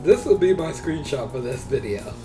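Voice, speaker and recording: A man's voice: mid-range voice, expressive, moderate pace, over music. A man giving casual, gushing commentary over a game playthrough, his voice prone to leaping into squeals. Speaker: gameplay video host.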